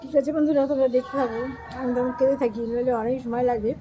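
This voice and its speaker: A middle-aged woman talks to her viewers in a friendly, easygoing way while she eats. Her voice sits high. Clear background noise runs under the home recording. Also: steady tone, very fast. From Bengal. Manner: chatty, addresses viewers as friends. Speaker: vlogger. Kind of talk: casual eating vlog chat.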